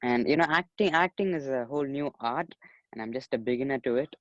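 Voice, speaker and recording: A man's voice: mid-range voice, fairly quick, no room sound, muffled recording. A young man from Chennai in his teens, polite and earnest, often saying "you know" while telling his story in an interview. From Chennai, India. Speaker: young actor and musician, interview guest. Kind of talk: casual interview.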